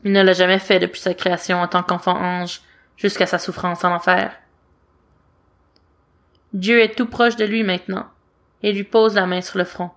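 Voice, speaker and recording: A woman's voice: lowish voice, flat delivery, normal speed, pauses often. A woman reading scripted fiction aloud as an amateur audiobook-style narrator. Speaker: amateur fan fiction reader.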